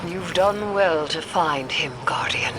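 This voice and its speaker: An actress playing a solemn, cryptic occult priestess in a video game cutscene, speaking in a formal, measured, hushed manner. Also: female voice, deep voice, lively intonation, heavy background noise. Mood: solemn, awed.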